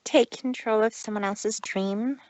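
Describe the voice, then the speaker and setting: A woman's voice: mid-range voice, steady tone, a little slow, a little mellow, no echo, lightly acted. A woman voicing an anxious female unicorn pony in a fan-made cartoon audio drama. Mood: alarmed.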